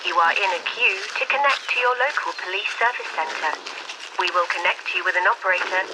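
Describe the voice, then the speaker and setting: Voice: mid-range voice, a little fast, lightly acted. A voice performing an automated police queue message, formal and impersonal, as a recorded phone announcement. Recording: noisy phone hold message.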